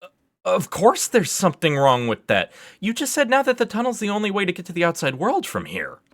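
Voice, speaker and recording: A man's voice: sits low, normal speed, fairly bright tone, dry recording, clean studio sound. A man voicing an anxious, exasperated schoolgirl with an incredulous, insistent, questioning manner. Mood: agitated, incredulous.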